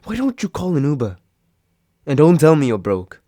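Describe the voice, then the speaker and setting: A man's voice: on the high side, very animated, moderate pace, warm tone, no echo. Man playing a grumbling, sarcastic young roommate, dry close sound. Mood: annoyed. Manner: grumbling, sighing, exasperated. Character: grumpy sarcastic young male roommate, secretly caring, woken from sleep. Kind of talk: intimate roleplay audio drama, one side of a phone call.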